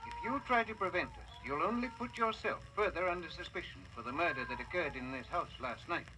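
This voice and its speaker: A man's voice: fairly high pitch, brisk, natural voice. An actor playing a kindly old male investigator who speaks firmly and formally in an old-time radio drama. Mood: firm, warning. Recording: noisy old radio recording.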